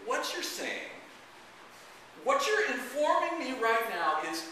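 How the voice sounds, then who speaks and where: Man acting out a flustered aging rock star, high-pitched. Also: very animated, pushed and bright, full character voice. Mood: panicked disbelief. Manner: flustered, incredulous, halting. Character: self-absorbed, panicky aging male rock star father. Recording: echoey room from far off, noisy.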